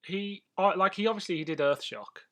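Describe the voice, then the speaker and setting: A man's voice: high-pitched, fairly quick, sharp tone. A man co-hosting a podcast, chatting casually and sharing bits of trivia in an informative way. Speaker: podcast co-host. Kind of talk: casual chat about a vintage TV and radio show.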